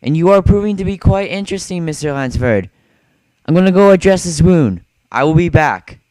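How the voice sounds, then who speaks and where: A man's voice: fairly high pitch, expressive, fairly quick, darkish tone, dry recording, natural voice, poor audio. A man playing an urbane, smug aristocratic general in an amateur drama, speaking in a formal, genteel manner. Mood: loud taunting. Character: urbane aristocratic male general who hunts people for sport. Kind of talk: amateur short story dramatization.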